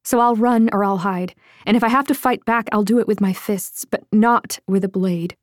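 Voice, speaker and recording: A woman's voice: medium pitch, moderate pace, no room sound, clean studio sound, natural voice. A woman voicing a stubborn, fiery young woman healer in an audiobook, with a defiant, strident manner. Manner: indignant and strident.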